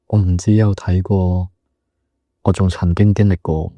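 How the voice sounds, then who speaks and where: A man's voice: sits low, little pitch movement, unhurried, mellow, no echo. A man playing a mysterious, taciturn young man in a radio drama, terse and brooding.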